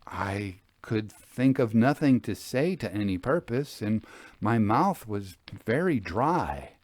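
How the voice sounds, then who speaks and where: A man narrating a classic literary ghost story in an expressive, measured, theatrical manner. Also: fairly low pitch, no room sound.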